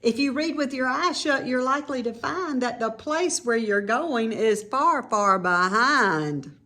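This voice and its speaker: A woman reading a children's picture book aloud in an expressive, teacherly way, with informal explanatory asides to young listeners. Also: fairly high pitch, a little slow.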